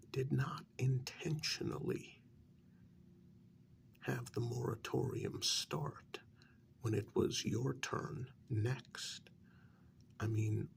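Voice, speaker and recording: A performer as a slow, creaky, whispering official. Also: mid-range voice, full character voice. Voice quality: soft, breathy half-whisper. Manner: measured, deliberate, gently reproachful. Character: patient, probing official questioning a renegade vampire. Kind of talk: ASMR soft-spoken role-play.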